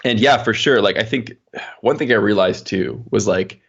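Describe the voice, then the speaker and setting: A man's voice: lowish voice, normal speed, creaky, slightly rough, highs cut off. A man chatting casually as an interview guest, explaining his work as an engineer in a rambling, informal way. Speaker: podcast guest, software engineer.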